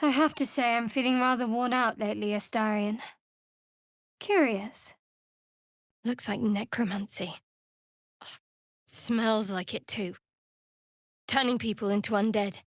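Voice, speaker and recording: An actress playing a guarded, wry young cleric of darkness in a video game, speaking in a measured way. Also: female voice, a little high, no echo, clean studio sound. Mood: weary, curious.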